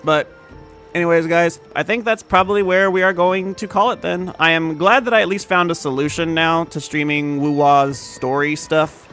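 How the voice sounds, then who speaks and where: A man's voice: fairly high pitch, steady tone, normal speed, a bit bright, faint music behind. A young man chatting casually to his stream audience, laid-back and profane, with many fillers and restarts. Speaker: game streamer.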